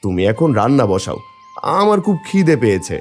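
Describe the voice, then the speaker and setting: A man's voice: medium pitch, sing-song, fairly quick, darkish tone, faint music behind. A man voicing a poor, wistful village taro seller and put-upon husband in a cartoon story, plaintive and pleading. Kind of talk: Bengali cartoon story voice acting.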